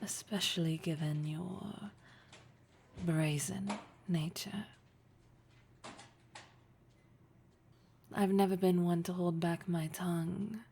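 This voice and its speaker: A woman performs a dominant, wealthy lady boss in an intimate audio roleplay. Her voice sits low and mellow with a gravelly fry at the edges. She is poised and flirtatious, teasing with breathy, deliberate formality. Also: fairly even intonation, slight background noise. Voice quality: breathy, husky.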